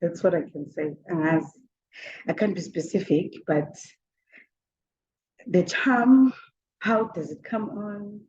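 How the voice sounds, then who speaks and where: A woman's voice: lowish voice, slow pace, pauses often. A woman in her forties with a South African accent, answering interview questions casually, laughing often and mixing in Zulu words. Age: 40s. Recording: slightly dull, faint background noise.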